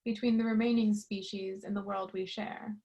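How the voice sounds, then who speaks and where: A woman's voice: medium pitch, flat delivery, moderate pace, vocal fry, mellow, natural voice. A woman playing a solemn, prophetic mutant fish in a staged reading.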